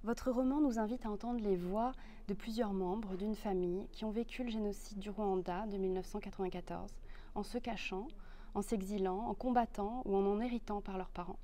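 A woman interviewer reading out her prepared questions in a measured, formal way. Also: mid-range voice, fairly even intonation, dark tone, a little hiss or hum.

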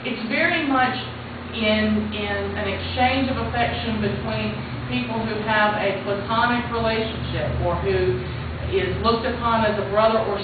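A woman in her forties from the United States, speaking loud and frank as she leads a mission trip orientation to camera. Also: mid-range voice, distant mic. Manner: plain-spoken, frank, gesturing with her hands. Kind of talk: spoken orientation talk to camera. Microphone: camera mic at a distance. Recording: echoey, phone-like, noisy room sound.

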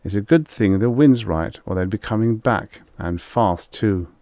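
A man's voice: on the low side, unhurried, warm tone, dry recording, clean studio sound. A man voicing a curt, practical hunting aide in a science fiction story, matter-of-fact in manner.

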